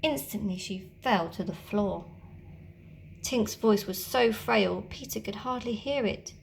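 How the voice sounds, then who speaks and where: A woman teacher reading a children's storybook aloud, with expressive, dramatic storytelling. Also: medium pitch, unhurried, vocal fry, a little hiss or hum.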